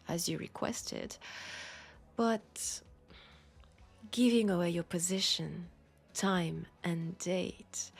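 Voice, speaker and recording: A woman with a French accent voicing a centuries-old, wry and world-weary female vampire in an intimate audio roleplay, softly and breathily. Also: lowish voice, full character voice. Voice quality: breathy, soft, slipping into whispers.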